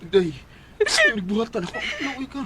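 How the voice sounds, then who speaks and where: A man's voice: very high-pitched, wildly swooping pitch, moderate pace, heavy background noise, exaggerated voice. A man playing an irresponsible, sheepish gambling husband who drives a tricycle, in a Filipino radio drama with a Cebuano accent. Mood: pleading.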